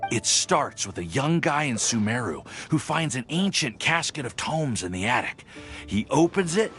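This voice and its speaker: A man's voice: mid-range voice, normal speed. A man playing an affable, awkward young alchemist in a video game, chatty and easily flustered. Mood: amused, enthusiastic. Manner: hesitant, friendly, animated. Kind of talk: video game character acting. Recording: music playing behind the voice.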